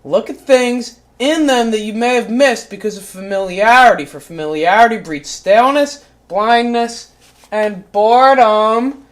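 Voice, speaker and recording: A performer voicing a short-tempered, foul-mouthed cat who reads a spiritual book aloud, going from calm, measured reading to yelled, swearing rants. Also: medium pitch, lightly acted.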